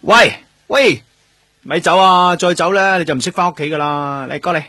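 An actor with a Hong Kong Cantonese accent playing a lonely, grieving, gentle police detective in a radio drama. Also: male voice, a little high, normal speed, a little hiss or hum. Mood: playful, coaxing. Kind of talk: radio drama, narrated inner monologue and dialogue. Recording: music under the voice. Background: background music.

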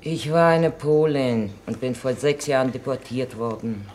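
An actress playing a blunt, unsentimental nurse who reluctantly speaks for a government, curt and matter-of-fact in a 1950s radio play. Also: female voice, very deep voice, measured pace, darkish tone. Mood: cool, flat. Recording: noisy 1950s radio recording.

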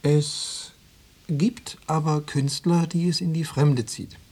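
Mellow, quietly menacing secret policeman. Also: a little high, steady tone, slow pace, in a large hall. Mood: smooth, probing. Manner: falsely reassuring, bureaucratic, quietly menacing. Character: smooth, insinuating male East German secret police officer. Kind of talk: radio play acting.

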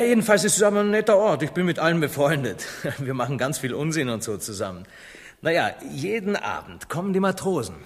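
Eager naive boyish youth, radio play, animated and earnest, echoey hall. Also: male voice, fairly high pitch. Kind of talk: satirical radio play acting. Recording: long reverberant hall.

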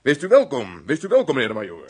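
An actor playing a fussy, obsequious castle steward in a radio drama, chatty and given to exclamations. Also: male voice, lowish voice, sing-song, normal speed, husky, heavily acted. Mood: fussy, effusive.

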